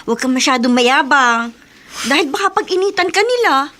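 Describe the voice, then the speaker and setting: An actress playing a caring younger sister who gently cautions, in a radio drama. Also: female voice, high voice, animated, moderate pace. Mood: concerned. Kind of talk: Tagalog radio drama acting.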